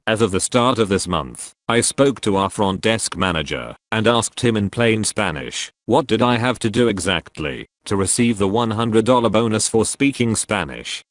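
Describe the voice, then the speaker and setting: A man narrating a reddit story in an even, measured reading. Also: lowish voice, no room sound, clean studio sound.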